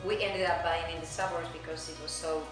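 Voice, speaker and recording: A woman's voice: deep voice, steady tone, brisk. Argentine-accented woman in a very echoey room. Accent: Argentine Spanish. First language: Spanish. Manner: chatty, loose, sprinkles "you know". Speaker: immigrant interviewee. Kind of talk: casual oral history interview. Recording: very echoey room with music behind.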